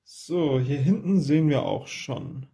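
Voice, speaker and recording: A man's voice: a little high, a little slow, warm tone. A man hosting an unboxing with casual hands-on commentary, recorded indoors at a table. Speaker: unboxing video host.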